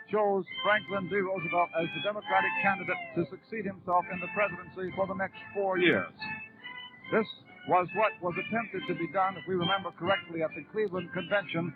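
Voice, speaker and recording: A man giving formal, measured live news commentary as a radio announcer on a 1930s broadcast recording. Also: high-pitched, phone-quality sound. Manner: formal, measured, long descriptive sentences.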